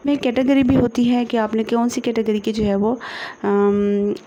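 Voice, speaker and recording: Monotone Pakistani woman, noisy recording. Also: a little high, highs cut off. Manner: halting, repetitive filler phrases. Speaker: tutorial presenter. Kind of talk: informal screen-share tutorial.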